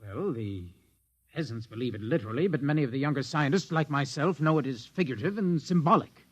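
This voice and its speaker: A man's voice: mid-range voice, expressive, a little fast, slightly rough. An actor playing a frightened, indoctrinated young male scientist held captive in a 1950s radio drama, defensive and dogmatic. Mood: puzzled. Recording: old 1950s radio broadcast.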